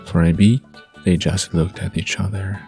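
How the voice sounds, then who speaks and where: A man narrating a romantic bedtime story in a slow, intimate style. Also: sits low, steady tone, warm tone, faint music behind.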